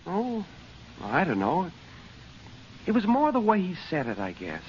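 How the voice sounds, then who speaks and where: An actor playing an earnest, sensitive young son in an old-time radio drama. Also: male voice, high-pitched, wildly swooping pitch, measured pace, full character voice. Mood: wistful. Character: earnest, sensitive young son worried about his father. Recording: muffled old radio recording, faint noise.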